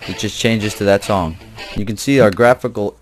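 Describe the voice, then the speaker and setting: A man with a fairly low voice works through a screencast tutorial as the instructor. He explains things step by step in an informal, conversational manner, and his phrasing is blunt and plain. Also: normal speed, over loud music.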